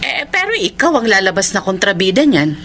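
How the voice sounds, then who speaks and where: A woman's voice: medium pitch, very animated, brisk, a bit bright, a little hiss or hum. An actress with a Filipino accent playing a concerned, cautioning middle-aged mother in a radio drama.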